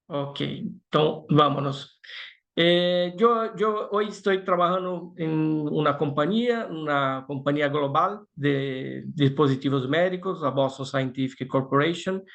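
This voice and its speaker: High-pitched, creaky-voiced man with Brazilian accent. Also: takes it slowly, a bit bright. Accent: Brazilian Portuguese. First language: Portuguese. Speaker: guest expert speaker. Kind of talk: webinar presentation.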